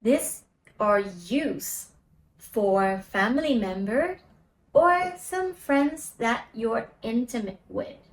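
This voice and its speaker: A young Indonesian woman with an Indonesian accent, presenting a language and culture lesson in a slow, deliberate way and demonstrating phrases. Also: fairly high pitch, lively intonation. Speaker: language teacher. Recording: roomy echo with soft music.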